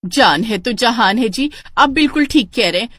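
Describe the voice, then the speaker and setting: Woman acting a lamenting village wife, melodramatic and lively. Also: fairly high pitch, normal speed, no echo, clean studio sound. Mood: anxious. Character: village headman's anxious, grieving wife and mother. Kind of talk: Hindi cartoon melodrama.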